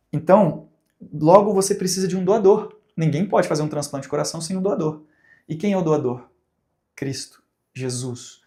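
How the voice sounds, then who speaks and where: Brazilian man teaching with a mellow voice. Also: a little high. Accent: Brazilian Portuguese. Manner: conversational, asks rhetorical questions, acts out examples. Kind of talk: recorded teaching talk.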